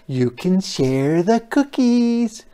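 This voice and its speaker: A storyteller voicing a generous, welcoming mother in a drawn-out singsong for a picture book. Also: medium pitch, sing-song, warm tone, pitched above the performer's own voice. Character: welcoming mother proud of plain home baking.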